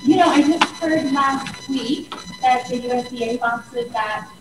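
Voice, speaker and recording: A woman on a community panel, answering a question thoughtfully with fillers and pauses. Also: high voice. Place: large community hall. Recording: echoey hall, distant with hum.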